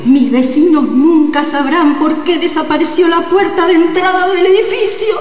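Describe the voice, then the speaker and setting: A woman's voice: extremely high pitch, moderate pace, darkish tone. A woman playing an evasive, sheepish amateur sorceress in a radio comedy drama. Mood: coy, evasive. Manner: coy, evasive, theatrical. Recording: echoey reverberant sound, faint noise.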